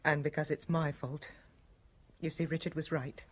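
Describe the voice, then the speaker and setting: An actress in a radio drama playing a troubled but firm, guilt-ridden lady of the house, with a husky voice. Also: low-pitched, flat delivery, moderate pace. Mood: guilty, subdued.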